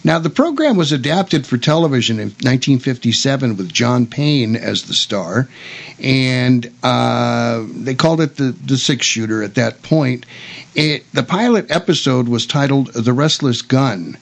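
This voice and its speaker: A gravelly man hosts a casual radio talk show. His delivery is halting, moving between chat and read-out notes, with words often repeated. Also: medium pitch, expressive.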